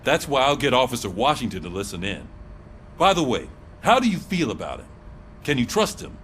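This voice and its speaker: Casting: skeptical police colleague for a game, mid-pitched, animated, probing, faint background noise. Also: male voice, a little fast. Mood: wary, probing. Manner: conversational, probing, wary. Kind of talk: video game cutscene acting.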